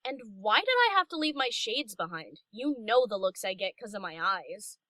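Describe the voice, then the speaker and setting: A woman's voice: mid-range voice, very animated, normal speed, pushed and bright, dry recording. A woman voicing a brash young DJ pony, casual and teasing, in an audio story. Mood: curious, teasing. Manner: casual, cheeky, sardonic. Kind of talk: character acting in audio story reading.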